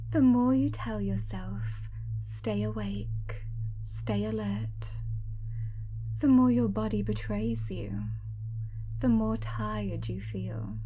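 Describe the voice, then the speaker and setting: A young woman playing a teasing, controlling hypnotist in an ASMR roleplay, speaking softly and breathily, often close to a whisper. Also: mid-range voice, slight background noise. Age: young adult. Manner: soft, breathy, often near-whispered, drawn-out delivery.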